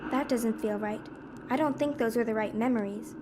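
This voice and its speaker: Curious young woman, high-voiced actress. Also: normal speed, noisy background, lightly acted. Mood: puzzled. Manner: musing, casual. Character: curious, wry young woman revisiting memories. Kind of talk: video game character monologue.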